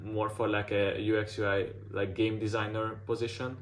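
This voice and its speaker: A man's voice: lowish voice, little pitch movement, moderate pace, slight background noise. A man who is not a native English speaker, giving casual portfolio feedback as a mentor with lots of "like" fillers and restarts.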